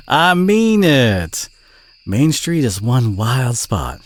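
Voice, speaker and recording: A man playing a friendly, modest country boy with a Southern US accent, chatty and gently teasing in an intimate audio roleplay. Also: medium pitch, full character voice. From southern United States.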